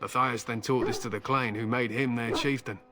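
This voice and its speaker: An actor playing a proud, gruff fantasy warrior in a video game, speaking formally and solemnly. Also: male voice, mid-range voice, steady tone, moderate pace, soft background music, close to an everyday voice. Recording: loud noise behind voice.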